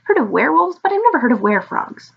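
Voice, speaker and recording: A woman's voice: high-pitched, big pitch swings, fast, vocal fry, muffled recording, voice pushed up, full character voice. A woman voicing a small, young male dragon who is friendly, eager, curious and chatty in a storybook reading. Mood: curious, impressed.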